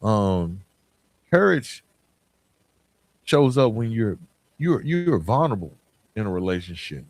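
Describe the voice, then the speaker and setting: A man's voice: lowish voice, very animated, slow pace, long pauses, darkish tone, no room sound. A man hosting a motivational podcast, speaking earnestly and emphatically in colloquial language straight to the listener. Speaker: podcast host.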